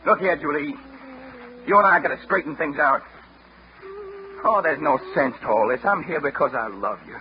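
An actor playing an earnest, exasperated young gentleman suitor in a vintage radio drama. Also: a little high, normal speed, pauses often, lightly acted. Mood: angry, insistent. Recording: muffled, noisy old radio broadcast.

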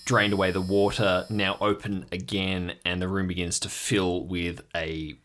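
A man acting as game master, improvising descriptive scene narration and pausing as he builds up the detail. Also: low-pitched, little pitch movement, a bit bright, a little hiss or hum.

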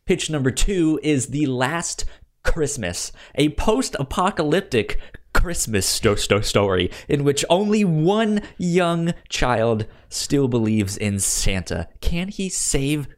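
A man co-hosting a podcast in a casual chat, speaking haltingly and stammering over words as he presents ideas. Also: a little high, very animated. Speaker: podcast co-host. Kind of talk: casual comics pitch chat.

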